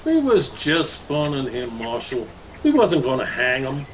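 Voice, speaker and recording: A man's voice: mid-range voice, wildly swooping pitch, unhurried, slight background noise, poor audio. A man playing a surly, folksy bully's sidekick in an Old West radio drama. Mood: defensive. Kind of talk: old-time radio Western acting.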